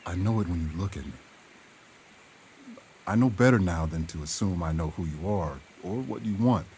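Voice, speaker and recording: An actor playing a gentle, forthright castaway man in love, with a measured and sincere delivery, in a period audio drama. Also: deep voice, lively intonation, slight background noise. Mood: tender, earnest.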